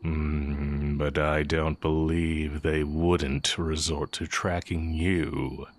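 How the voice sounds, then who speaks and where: A man acting as a sly, coolly teasing heretic magic teacher in an intimate audio roleplay, musing and taunting with drawn-out pauses. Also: very deep voice, flat delivery, full character voice. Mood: teasing, suspicious.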